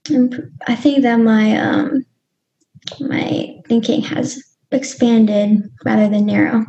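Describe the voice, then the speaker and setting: A woman's voice: on the high side, steady tone, slow pace, dark tone, highs cut off. A young woman talking casually as a podcast guest, hesitant and full of ums and restarts. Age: young adult. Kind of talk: casual interview talk.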